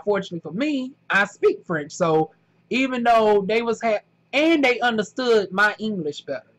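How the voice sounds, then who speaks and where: A man with a thick Southern US accent and a notably high voice talks straight to camera. He rambles chattily through his story, peppering it with "honey" and "y'all" in a relaxed vlog style. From southern United States. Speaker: vlogger.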